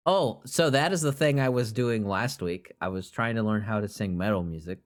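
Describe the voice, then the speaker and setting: A man's voice: lowish voice, moderate pace. A young man hosting a podcast, chatting casually, joking and swearing freely as he rambles. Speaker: podcast host. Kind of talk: casual chat.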